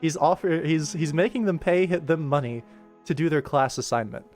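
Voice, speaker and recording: A man's voice: fairly high pitch, moderate pace, darkish tone, faint music behind. A man hosting a casual work livestream, chatty and self-deprecating as he explains details. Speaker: animation creator and livestream host.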